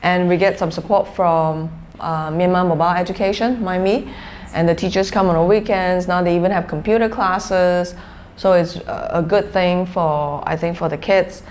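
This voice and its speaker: A woman in her forties from Malaysia with a Malaysian accent, answering interview questions conversationally in a halting, explanatory way. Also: fairly low pitch, steady tone, darkish tone. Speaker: interview guest, social enterprise founder. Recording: clearly noisy background ambience.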